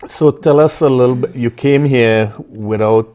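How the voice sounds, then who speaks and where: A man's voice: medium pitch, unhurried, warm tone. A man from Trinidad with a Trinidadian accent, hosting an interview and asking long questions padded with "you know". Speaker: interview host.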